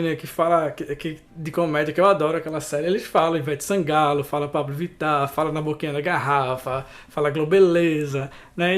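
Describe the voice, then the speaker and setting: A man from Recife with a Pernambuco Brazilian Portuguese accent, a voice artist explaining his craft in an interview, conversational and often adding tag questions. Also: a little high.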